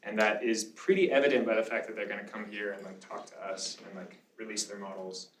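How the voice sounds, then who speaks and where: A low-pitched man gives informal lecture answers in a casual, conversational manner. He is picked up from a distance in an echoey room, with faint noise underneath. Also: fairly even intonation, brisk. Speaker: lecturer, speaker answering questions. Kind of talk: informal question-and-answer after a talk.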